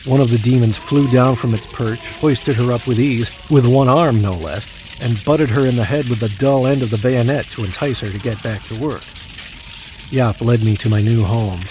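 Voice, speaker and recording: A man's voice: mid-range voice, moderate pace, over music, natural voice, rough recording. An actor playing a self-important, demanding man stuck in Hell, haughty and complaining, in an audio drama. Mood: self-important narrating. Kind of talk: first-person audio drama narration and dialogue.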